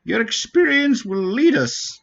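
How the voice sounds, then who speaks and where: A man puts on a solemn, wise old forest elder from a fantasy game. The delivery is slow and grave, with wide swings of pitch. The sound is dull and lo-fi. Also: big pitch swings, creaky, fairly bright tone, heavily acted. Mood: solemn, hopeful. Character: ancient, solemn Wingly elder, wise leader of a forest people. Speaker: game streamer. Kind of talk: dramatic read-aloud of fantasy game dialogue. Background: faint game music.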